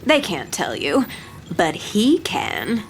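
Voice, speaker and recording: Casting: coolly villainous female gang boss, unhurried and expressive, mocking audio drama delivery. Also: mid-range voice, a little hiss or hum, poor audio. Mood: smug, taunting. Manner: deliberate, taunting, theatrical. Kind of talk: scripted audio drama acting.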